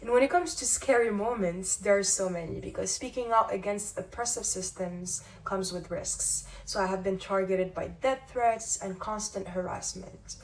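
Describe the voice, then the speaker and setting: A woman speaks with determined, impassioned conviction about her advocacy work. Her voice has a middle pitch for a woman. It sounds a little distant from the microphone. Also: moderate pace, few pauses. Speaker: feminist advocate. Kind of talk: personal testimonial statement.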